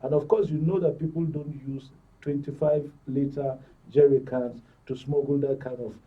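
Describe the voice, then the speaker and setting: A Nigerian man giving measured, formal and wordy current affairs analysis as a panel guest. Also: medium pitch, steady tone, husky. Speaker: studio guest, security commentator. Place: broadcast studio panel. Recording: distant mic, dull muffled audio.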